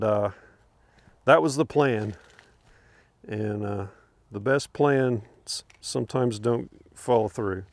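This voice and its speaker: A man's voice: mid-range voice, no echo. An older man in his garden outdoors, rambling easily with lots of fillers as he films a walkthrough from behind a handheld camera. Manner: easygoing, rambling, with many fillers and chuckles. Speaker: homesteader showing his garden. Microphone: handheld camera, speaking from behind it.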